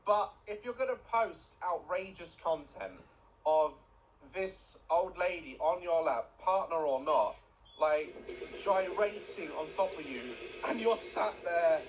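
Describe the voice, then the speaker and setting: Blunt, lively man ranting. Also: a little high, measured pace, poor audio. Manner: blunt, sweary, joking rants. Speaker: YouTube reaction host. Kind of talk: casual reaction commentary. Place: home, bathroom being redone nearby. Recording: loud building noise behind.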